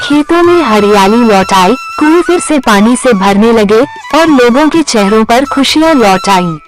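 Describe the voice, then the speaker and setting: Storyteller for scripted moral-tale narration, mid-pitched voice, music underneath. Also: animated, unhurried. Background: background music.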